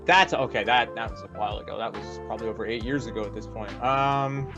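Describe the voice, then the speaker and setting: A man's voice: fairly low pitch, fast, creaky, lo-fi sound, over music. A man giving a casual, rambling interview as a voice actor, often tagging phrases with a checking question.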